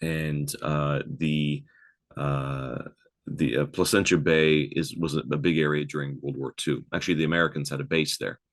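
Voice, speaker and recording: Newfoundland guy with a super low voice, projects like a tour guide, chatty podcast storytelling. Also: fairly even intonation, moderate pace. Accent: Newfoundland, softened by voice training. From Newfoundland, Canada. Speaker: podcast host. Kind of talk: casual podcast chat and storytelling.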